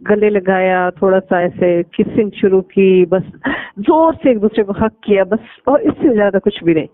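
A woman's voice: medium pitch, moderate pace, no echo. A young woman chatting casually and privately in a recorded phone call. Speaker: caller.

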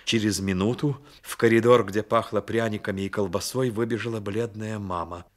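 A man narrating a Soviet radio play as a grave, measured storyteller. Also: lowish voice, fairly even intonation, close to an everyday voice. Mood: grave, lyrical. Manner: measured, literary delivery. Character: grave male storyteller of a childhood tale.

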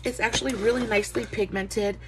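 A woman's voice: fairly high pitch, steady tone, brisk, heavy background noise. A young adult woman chatting through a makeup palette review, a bit nasal and stuffy from allergies, with lots of filler words. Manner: chatty, many "uh" fillers, friendly greetings. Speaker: beauty vlogger.

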